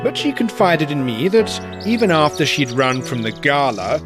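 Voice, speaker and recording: An actor playing a genial aging father in an audio drama, speaking with wry, courtly calm. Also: a little high, moderate pace. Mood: wry, resigned. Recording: loud music under the voice.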